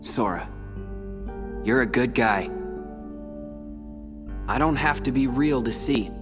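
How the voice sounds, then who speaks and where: A man's voice: mid-range voice, slow, lightly acted. An actor playing a guarded teenage boy warrior in a video game, somber, earnest and intense. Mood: somber, accepting. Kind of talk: dramatic video game voice acting. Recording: long reverb, music behind.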